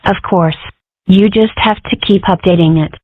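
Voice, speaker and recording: A woman's voice: mid-range voice, normal speed, no echo, natural voice. A woman voicing a sarcastic, rebellious young furry schoolgirl in a scripted comic-strip dub. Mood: sardonic. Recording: muffled, phone-like narrow band.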